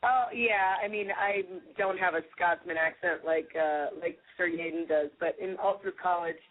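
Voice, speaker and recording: A woman's voice: lowish voice, normal speed. A woman singer being interviewed on the radio, talking casually and laughing often. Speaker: guest singer. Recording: phone-line radio call.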